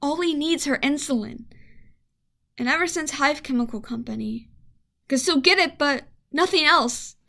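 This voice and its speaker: A woman acting a worried scientist and mother in an amateur radio play, speaking in an emotional, halting, conversational way. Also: sits high, gravelly, pushed and bright, exaggerated voice. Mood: sad, torn.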